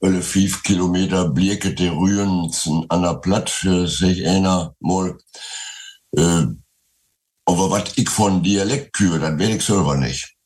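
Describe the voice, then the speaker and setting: Elderly Westphalian man, very low voice. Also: a little mellow. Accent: Westphalian Low German. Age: 80s. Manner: hesitant, many pauses. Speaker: interviewee. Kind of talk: casual interview.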